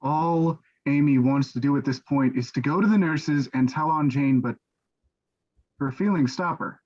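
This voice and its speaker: A man's voice: medium pitch, normal speed, a little mellow. A man reading scripted stage directions as the narrator of a staged play reading, on a lo-fi recording.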